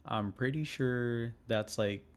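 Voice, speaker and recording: A man's voice: medium pitch, slow. A young American man co-hosting a podcast, chatting casually and telling loose stories with plenty of swearing. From United States. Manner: loose storyteller, swears freely. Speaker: podcast co-host. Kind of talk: casual chat.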